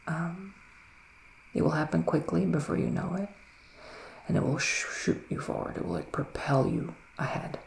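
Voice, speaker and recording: A man doing a whispered ASMR roleplay as a gentle, reassuring witch who gives psychic readings, soft-spoken and soothing with pauses. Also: fairly high pitch, big pitch swings, gravelly, roomy sound, slight background noise. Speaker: ASMR performer playing a psychic reader.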